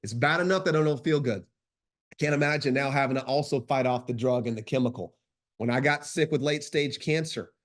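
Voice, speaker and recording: A man with a congested, stuffy voice giving an emphatic podcast monologue as co-host, insistent and driving his points home with repetition. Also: mid-range voice. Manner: emphatic, insistent, repeats phrases for stress. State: congested, runny nose; sleep deprived.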